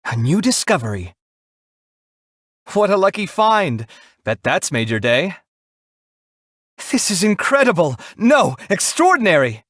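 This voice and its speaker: Casting: proud, earnest young architect for an anime game; articulate, dramatic, very animated pitch, dry close recording. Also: male voice, unhurried, pauses often, no echo, heavily acted. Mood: excited battle cries. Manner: articulate, expressive, theatrical. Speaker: video game character voice.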